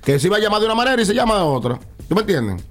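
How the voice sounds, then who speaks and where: A man's voice: sits high, big pitch swings, racing. A man co-hosting a radio show, telling anecdotes about listeners and acting out their requests. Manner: tells anecdotes, quotes listeners in acted voices. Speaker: radio co-host. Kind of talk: casual on-air banter.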